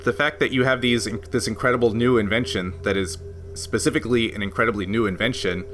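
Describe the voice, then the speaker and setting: A man's voice: mid-range voice, steady tone, fast talker, a bit bright, slight background noise. A man hosting a tabletop game podcast, explaining a rules system at length in a casual way, with frequent laughs. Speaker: game master and podcast host.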